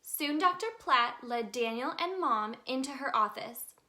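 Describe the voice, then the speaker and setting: A woman reading a children's picture book aloud as a storyteller, in an expressive, sing-song manner aimed at young children. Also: high-pitched, a little slow. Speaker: storyteller in character as the Tooth Fairy.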